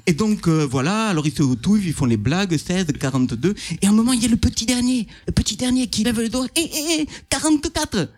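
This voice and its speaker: A man playing an excitable Chinese caricature in a comic radio phone-in sketch, gushing and repeating himself as he tells jokes. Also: high-pitched, quick pace, few pauses. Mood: amused storytelling. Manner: gushing, repeats phrases emphatically.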